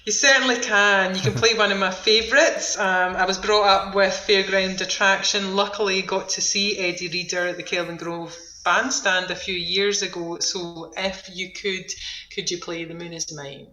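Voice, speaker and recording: A woman's voice: mid-range voice, fairly even intonation, normal speed, sharp tone, reverberant, low-quality recording. A woman with a Scottish accent speaking informally and upbeat as a centre manager being interviewed on the radio.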